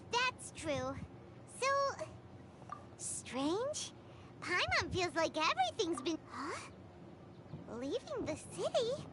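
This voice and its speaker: A woman voicing a tiny, excitable female fairy companion in a video game, who speaks of herself in third person, with game music behind. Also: very high voice, very noisy, full character voice. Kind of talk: game dialogue.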